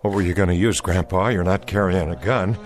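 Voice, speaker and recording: An actor playing a stern, resolute Confederate cavalry soldier in a radio drama, with a folksy, commanding Southern US accent. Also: male voice, low-pitched, fairly even intonation, fairly quick, faint music behind, close to an everyday voice. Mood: threatening.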